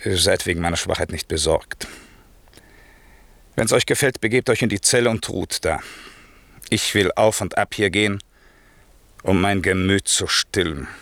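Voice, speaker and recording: A man's voice: low-pitched, normal speed, creaky, long pauses, a bit bright, dry recording. An actor playing a stern, commanding old magician and father in a radio play, speaking solemn, declamatory verse. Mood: agitated, weary.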